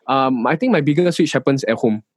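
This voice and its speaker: A man's voice: mid-range voice, fairly quick, no room sound. Young man, Singaporean English accent, hesitant, restarts often, dry close sound. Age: young adult. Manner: hesitant, many restarts and fillers. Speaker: interview guest. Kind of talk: casual interview chat.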